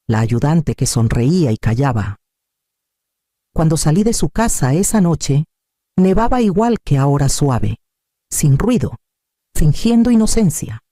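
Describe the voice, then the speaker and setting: A man reading a scripted first-person dramatic story in measured, deliberate short phrases. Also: on the high side, dark tone, no echo, clean studio sound. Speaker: story narrator.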